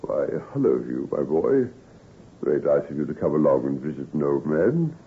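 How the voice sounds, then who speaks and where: A genial old gentleman in an old radio play, extremely low and gravelly. His talk swings up and down with hearty, old-fashioned relish. The recording is tinny and narrow. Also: very animated, moderate pace, slight background noise, heavily acted. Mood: jovial. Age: older. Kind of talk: old-time radio drama acting.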